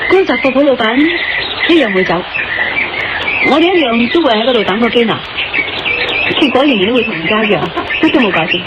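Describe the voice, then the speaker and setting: Actress playing a calm, higher-voiced wife, muffled noisy recording. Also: female voice, quick pace, pauses often, natural voice. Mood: consoling. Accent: Hong Kong Cantonese. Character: calm, practical, caring wife and adventuring companion. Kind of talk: Cantonese radio drama acting. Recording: muffled lo-fi sound, loud outdoor-like ambience.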